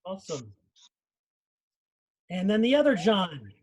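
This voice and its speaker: High-pitched man hosting casually. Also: very animated, slow pace, slightly rough. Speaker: host of a cast live stream. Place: group video call.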